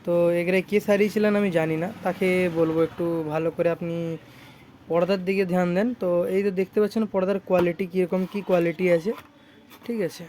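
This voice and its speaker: Repairer over clear background noise. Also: mid-range voice, steady tone, fast talker, darkish tone. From India. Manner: repetitive, frequent check-in tags. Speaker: speaker repair technician presenting own work. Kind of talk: informal product showcase vlog.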